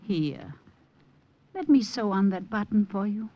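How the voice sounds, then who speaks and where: An actress playing a nervous, devoted young German woman, soft and hesitant, in 1950s radio drama style. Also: medium pitch, lively intonation, a little slow. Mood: tender, worried. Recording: dull, noisy old radio broadcast.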